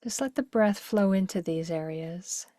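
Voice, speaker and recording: A woman leading a guided meditation in a gentle, unhurried, soothing way, with phrases that soften into breathiness. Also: mid-range voice, lively intonation, no echo, clean studio sound.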